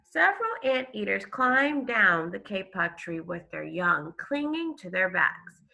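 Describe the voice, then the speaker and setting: Bright-voiced woman teacher reading to children. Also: medium pitch, unhurried, creaky. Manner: expressive, explains to listeners with questions and gasps. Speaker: elementary school teacher. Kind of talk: read-aloud lesson for children, with explanations.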